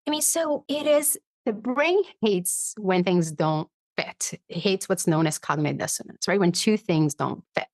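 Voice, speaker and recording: A woman guest in a conversational interview, explaining ideas through personal examples and acting out her inner dialogue in an animated way. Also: on the low side, unhurried, few pauses, no echo. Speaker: podcast guest, psychologist and author.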